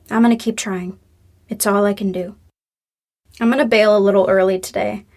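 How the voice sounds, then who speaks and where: An actress playing a young woman working through grief, soft-spoken and wry, in an audio drama. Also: medium pitch, steady tone, measured pace, lightly acted. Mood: subdued.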